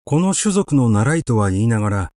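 A man narrating a literary short story in a measured, expressive storytelling manner. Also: medium pitch, dry recording, studio quality. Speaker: audiobook narrator.

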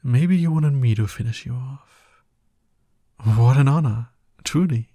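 A man's voice: medium pitch, a little slow, warm tone, no room sound, clean studio sound, lightly acted. A man playing a smug, dominant villain in an ASMR roleplay, taunting his hero nemesis with drawling exasperation. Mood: cold, teasing.

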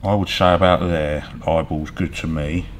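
A middle-aged man with a very low voice talks through a workshop job. He is casual and offhand, tacking little questions onto his sentences. Clear background noise fills the garage around him. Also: steady tone, moderate pace. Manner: offhand, often ends on tag questions. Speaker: workshop mechanic demonstrating metal fabrication. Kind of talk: casual hands-on tutorial. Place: large garage workshop, indoors.

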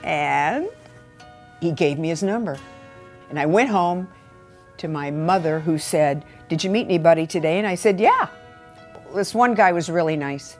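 A woman's voice: on the low side, normal speed, long pauses, soft background music. An American woman in her sixties telling a personal anecdote in an interview, acting out the dialogue. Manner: animated storyteller, quoting dialogue. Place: furnished living room. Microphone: lapel microphone.

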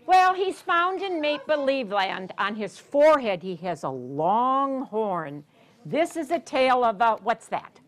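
A woman's voice: on the high side, sing-song, measured pace. An American woman in her sixties reading to young children at story time in a teacherly, sing-song way, often prompting with questions. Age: 60s. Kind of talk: reading a picture book aloud to young children, interactive. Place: carpeted library program room, indoors.

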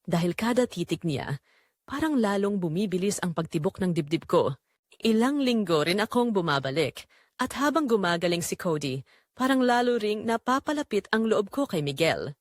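A woman narrating a scripted first-person romance story in an expressive, sentimental storytelling manner. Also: medium pitch, moderate pace, dry recording, clean studio sound.

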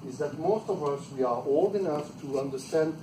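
A man's voice: fairly high pitch, normal speed, background noise. A middle-aged man with a French accent, a native French speaker, giving a chatty, self-deprecating conference presentation with small laughs. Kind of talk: plenary presentation. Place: meeting room. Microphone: far camera. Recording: echoey room from a distant camera.

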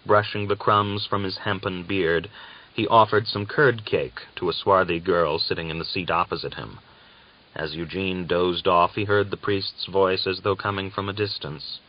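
A man narrating a novel as an audiobook, with a measured, deliberate and dramatic literary delivery. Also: deep voice, fairly even intonation. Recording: dull, lo-fi audiobook recording.

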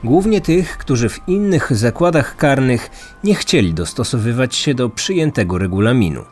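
A man's voice: mid-range voice, expressive, normal speed, a little mellow. Man narrating true crime over music. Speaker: podcast host. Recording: music under the voice. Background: background music.

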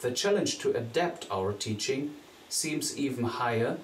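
A young man, a teacher from Germany, giving a scripted presentation in an earnest, steady way. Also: medium pitch, a little slow, a little hiss or hum. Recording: echoey room, voice a bit distant from the mic.

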